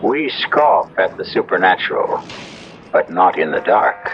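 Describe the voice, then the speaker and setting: A man playing an ominous, wry horror host, narrating a scripted radio drama in a measured, theatrical way. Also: deep voice, very animated, heavily acted. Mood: ominous, reflective. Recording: muffled old radio recording, music behind.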